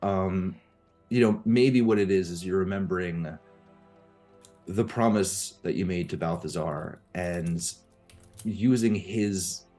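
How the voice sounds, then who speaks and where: A man narrating a tabletop game as game master, describing the scene slowly and hesitantly, with many fillers. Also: sits low, dark tone, faint music behind.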